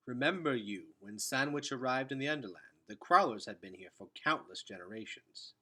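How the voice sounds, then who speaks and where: Wise storybook elder, read by a man: husky, deliberate, formal, patient, measured. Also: mid-range voice, lively intonation, bright, forceful tone, heavily acted. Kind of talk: children's novel read-aloud.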